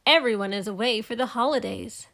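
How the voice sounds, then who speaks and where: A woman's voice: fairly high pitch, brisk, vocal fry, bright, forceful tone. Storybook villain, woman performing a male burglar: sly, scheming, conspiratorial.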